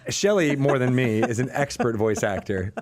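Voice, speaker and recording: A man's voice: mid-range voice, sing-song, moderate pace. Jokey man hosting a casual chat. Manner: jokey, teasing. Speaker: podcast host.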